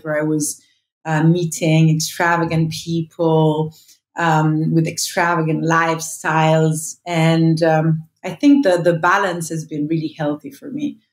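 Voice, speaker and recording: A woman's voice: deep voice, roomy sound. A woman in her thirties with an Italian accent, a guest chatting reflectively from home over a video call, with many fillers and restarts. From northern Italy. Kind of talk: casual interview chat. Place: home room. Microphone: earbuds on video call.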